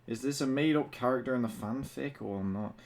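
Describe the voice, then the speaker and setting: A man's voice: medium pitch, brisk, creaky, a little mellow. A man reading fan fiction aloud for comedy, amused and crude, stumbling a little and dropping in asides. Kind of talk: comic read-aloud with asides.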